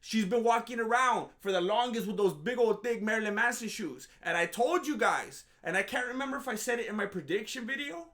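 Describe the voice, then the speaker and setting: A man's voice: high-pitched, expressive, a little fast, hardly any pauses, fairly bright tone. A man rambling through a casual, joking commentary monologue, sarcastic and self-mocking, with frequent restarts. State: groggy after a nap. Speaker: MMA commentary vlogger.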